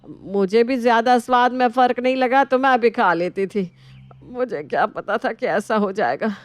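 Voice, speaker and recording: A woman playing a fussy, dramatic older mother-in-law who complains, in an animated family story. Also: on the high side, moderate pace, no echo, close to an everyday voice. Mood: plaintive, dramatic.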